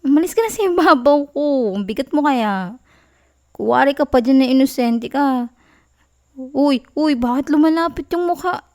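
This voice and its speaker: A young woman playing a clingy, pouty, playful girlfriend in an intimate ASMR roleplay, with a Filipino accent and a soft, whiny, teasing delivery. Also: high-pitched, lively intonation, normal speed. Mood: flustered, protesting.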